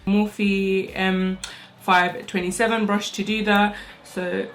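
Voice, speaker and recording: A young woman, doing a rushed get-ready-with-me makeup tutorial at home on her own mic. She talks fast and chatty, and her voice still sounds sleepy. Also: mid-range voice, little pitch movement, over music, rough recording. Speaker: makeup vlogger. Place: home, indoors. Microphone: own microphone.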